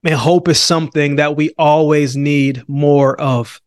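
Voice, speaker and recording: A man's voice: on the high side, steady tone, a little slow, smooth, no echo, clean studio sound. A man from Jamaica hosting a podcast interview, earnest and upbeat, often saying "man". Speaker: podcast host.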